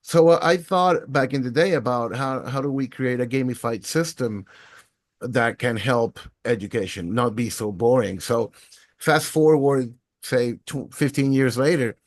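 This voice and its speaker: A man answers interview questions as a podcast guest. He talks thoughtfully and fluently at a medium pitch, and the room sound is dry. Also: unhurried, no room sound. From Venezuela. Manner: thoughtful, fluent storytelling with casual fillers. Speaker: podcast guest, education technologist.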